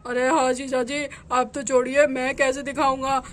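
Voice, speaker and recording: Woman doing a fearful, low-voiced village uncle. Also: little pitch movement, moderate pace, natural voice. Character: nervous village uncle, dreading his sister.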